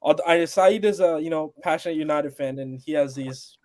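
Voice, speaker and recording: A man's voice: fairly high pitch, moderate pace. A man from Pakistan with an American accent, calling in to a talk show, earnest and polite. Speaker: caller. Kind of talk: casual call-in chat.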